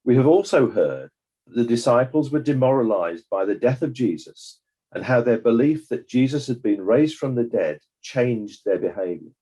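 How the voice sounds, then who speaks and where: A man playing a measured, judicious coroner, delivering a formal, deliberate summing-up in a dramatized courtroom inquiry. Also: medium pitch, mellow, slightly rough. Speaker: presiding judge of inquiry.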